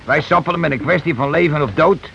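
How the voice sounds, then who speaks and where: An actor playing a gruff, bossy, irritable working-class husband in a radio play, with coarse, nagging, impatient talk. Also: male voice, a little high, fast, bright, forceful tone. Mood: agitated, scolding. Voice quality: coarse colloquial speech. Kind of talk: naturalistic radio play acting. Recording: dull, noisy old radio recording.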